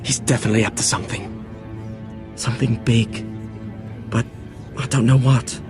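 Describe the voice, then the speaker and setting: A man's voice: medium pitch, fairly even intonation, normal speed, natural voice. Man playing an earnest, confiding young servant. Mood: secretive. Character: earnest young male servant and court informant. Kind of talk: dramatic video game acting. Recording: music behind the voice.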